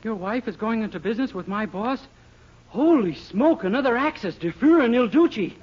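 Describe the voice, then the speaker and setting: An actor playing a naive, eager young clerk, guileless and deferential, in a 1940s radio sitcom. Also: male voice, very high-pitched, lively intonation, normal speed, slightly rough, full character voice. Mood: earnest, surprised. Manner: guileless, gee-whiz, deferential. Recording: muffled, very noisy 1940s radio recording.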